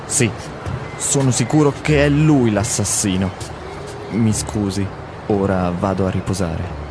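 A man voicing a grieving father in a short scripted radio drama, speaking in a low, heavy, subdued way. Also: moderate pace, over music, close to an everyday voice. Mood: grave. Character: grieving father, middle-aged man, certain of the killer.

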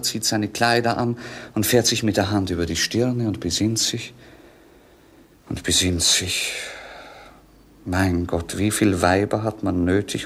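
A melancholy young prince in a vintage radio drama. His voice sits on the low side, and he declaims in a lyrical, theatrical way, bored with life and mocking himself. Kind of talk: 1950s German radio play acting.

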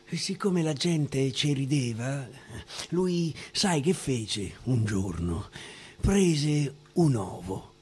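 Husky Roman man reciting dialect verse. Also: medium pitch, lively intonation, a little mellow. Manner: theatrical storyteller, dramatic pauses. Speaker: stage actor. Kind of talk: dialect verse monologue performance.

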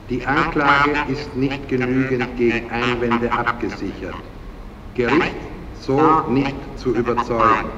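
An actor playing a cold, bureaucratic legal computer in a science fiction radio drama, reciting formulas in a halting monotone. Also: male voice, medium pitch, natural voice. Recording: dull, reverberant hall, faint noise.

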